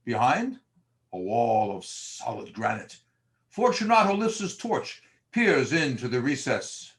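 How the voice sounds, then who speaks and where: A man's voice: mid-range voice, big pitch swings, a little slow, fairly bright tone. A man narrating a gothic horror drama from a script in a theatrical, storytelling style.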